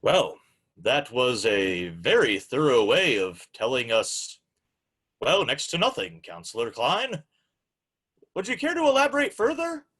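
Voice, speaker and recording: A man's voice: mid-range voice, wildly swooping pitch, a little slow, a bit bright, slightly rough, heavily acted. A man playing a formal, courteous, easily flustered host of an old-time radio show, with theatrical delivery. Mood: flustered, exasperated.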